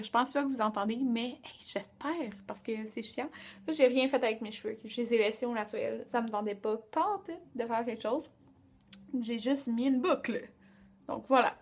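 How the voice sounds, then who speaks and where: A woman in her twenties with a Quebec French accent, chatting colloquially to camera in a casual vlog, recorded indoors on a handheld camera. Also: fairly high pitch. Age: 20s. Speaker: beauty vlogger. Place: small rooms at home, indoors. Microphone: camera at arm's length.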